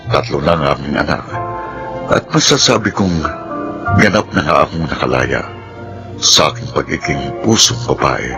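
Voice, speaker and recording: An actor playing an earnest, principled male lawyer in a Filipino radio drama, speaking deliberately. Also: sits low. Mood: grateful. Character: principled, earnest male lawyer telling his life story.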